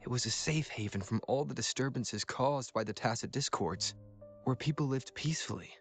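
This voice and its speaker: A man voicing a calm, mid-pitched game hero. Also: faint music behind, close to an everyday voice. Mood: thoughtful, earnest. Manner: measured, reflective. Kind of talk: video game voice acting.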